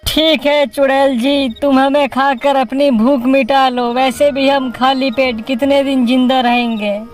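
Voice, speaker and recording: A humble old village mother, acted out by a woman for a cartoon. She speaks slowly and politely, with a plaintive, kindly tone. Soft music sits behind the voice. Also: high voice, steady tone. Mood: resigned. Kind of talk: Hindi cartoon voice acting.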